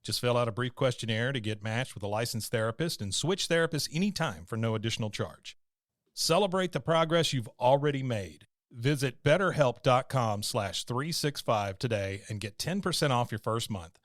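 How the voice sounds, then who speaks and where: A man's voice: mid-range voice, moderate pace, no echo, studio quality. A man delivering a scripted advertisement as an announcer. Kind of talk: scripted ad read.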